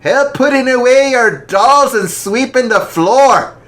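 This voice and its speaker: A storyteller voicing a gruff, hard-drinking man who scoffs and blusters, pitched far above their own voice. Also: lively intonation, slow, a bit bright, exaggerated voice. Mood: scornful. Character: gruff hard-drinking older male bar patron.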